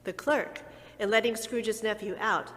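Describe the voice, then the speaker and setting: A woman reads a classic tale aloud with expressive, theatrical timing. She lingers on single words and lets her voice dip and swell. A long reverb trails each phrase, like a large hall. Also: medium pitch, a little slow. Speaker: narrator. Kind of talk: dramatic reading of a classic story.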